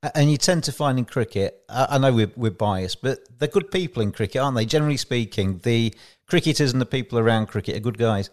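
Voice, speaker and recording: A man's voice: medium pitch, expressive, quick pace. A man hosting a podcast, asking questions in a chatty, friendly way. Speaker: podcast host. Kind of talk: casual interview chat.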